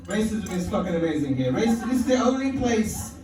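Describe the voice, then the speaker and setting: A man's voice: sits high, sing-song, brisk, darkish tone. A man from performing a stand-up set in a comedy club, wry and profane, chuckling at his own lines. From London. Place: comedy club stage, indoors. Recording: echoey club audio from far off.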